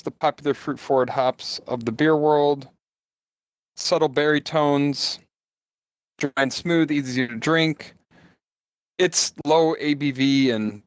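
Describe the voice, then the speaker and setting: A man's voice: medium pitch, smooth, no room sound. A man co-hosting a gaming stream in casual, rambling chat, often restarting and joking about himself. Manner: rambling, self-deprecating, repeats words. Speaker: stream co-host.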